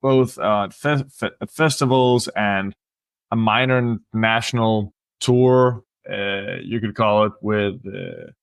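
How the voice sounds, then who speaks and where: A man in a band, answering interview questions in halting speech with many pauses. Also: fairly low pitch, steady tone, clean tone, no room sound. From Denmark. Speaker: band member.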